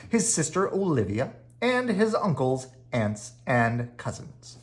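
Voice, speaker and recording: Children's read-aloud narrator: mid-pitched, leisurely, highly varied delivery, playful and dramatic. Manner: dramatic, with playful sound words. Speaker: children's book reader. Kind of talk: animated read-aloud storytelling.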